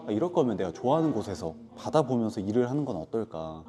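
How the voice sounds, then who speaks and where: A man's voice: lowish voice, big pitch swings, fast talker, mellow. A man in his twenties, a business owner, telling his story in a plain, matter-of-fact way during a casual interview. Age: 20s. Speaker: guest, lodging business owner. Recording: music bed under the talk.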